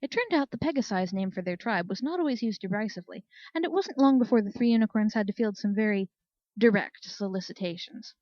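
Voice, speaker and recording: A woman narrates a story in scripted audiobook style. Her pitch is average, and the recording is dry, close and slightly dull. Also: expressive, fairly quick, darkish tone, dry recording. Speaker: audiobook reader.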